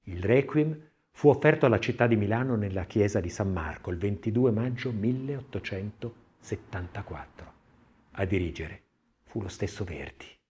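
Expressive male narrator with lively intonation. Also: fairly low pitch, moderate pace, slight background noise. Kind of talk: expressive scripted audiobook narration.

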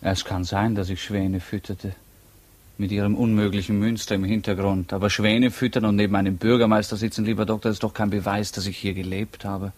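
Man doing a stubborn ironic prisoner in an old radio play, lowish voice, languid and defiant. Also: little pitch movement, brisk, a little mellow, natural voice. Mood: wry, dismissive. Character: stubborn, wry prisoner denying his identity. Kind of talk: 1950s German radio drama acting.

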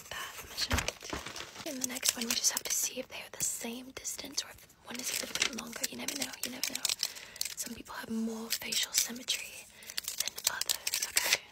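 A woman in her twenties whispers gently and reassuringly, giving soft instructions in an ASMR roleplay close to the mic. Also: fairly high pitch, low-quality recording. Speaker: ASMR creator in a costume fitting roleplay.

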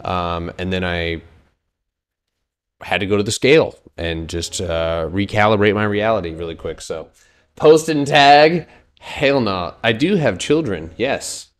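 A man's voice: fairly low pitch, expressive, measured pace, clean tone. A man in his thirties talking through stock charts live, joking loosely with his chat between points. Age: 30s. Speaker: stock trading streamer. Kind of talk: casual live chart analysis.

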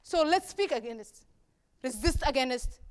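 A young woman from Somalia delivers a prepared talk from a dark auditorium stage. Her voice sits high, and she leans on repeated phrases with measured pauses between them. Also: lively intonation, clean tone. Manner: rhetorical repetition, deliberate pauses. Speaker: speaker at a campus talk event. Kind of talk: prepared public speech.

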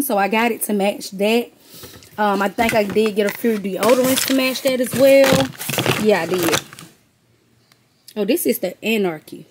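A woman's voice: fairly high pitch, noisy background. A middle-aged woman chatting casually to viewers as she shows off products she bought, calling them y'all and honey, filmed on a handheld phone indoors. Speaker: vlogger and gift basket maker. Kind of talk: casual haul vlog. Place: warehouse-style room with boxes, indoors. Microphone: handheld phone camera.

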